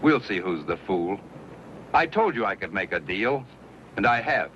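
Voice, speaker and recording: An actor playing an insistent, self-assured settler bargaining earnestly in an old western radio drama. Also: male voice, fairly low pitch, sing-song, moderate pace. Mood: smug. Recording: dull lo-fi radio recording, faint noise.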